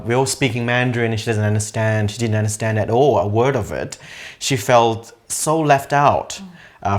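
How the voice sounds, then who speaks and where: A middle-aged man telling an animated story from a living room sofa, with plenty of fillers. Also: medium pitch. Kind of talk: documentary interview.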